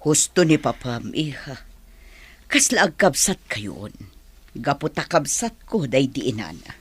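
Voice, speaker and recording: An actress with a Filipino accent playing a firm, caring middle-aged mother in a radio drama, in a husky voice. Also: rumbling low, very animated, measured pace, full character voice. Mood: earnest, motherly.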